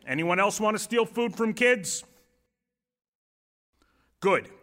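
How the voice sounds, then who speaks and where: A man playing an assertive, quick-witted former manager in an audio drama, talking in a glib, persuasive, commanding way. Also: sits high, expressive, fairly bright tone, exaggerated voice. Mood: accusing, forceful.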